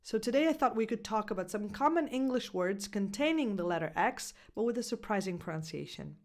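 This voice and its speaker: A woman's voice: medium pitch, animated, darkish tone. Italian woman coaching English pronunciation. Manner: clear, deliberate, slows down to spell out words. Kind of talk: scripted video lesson.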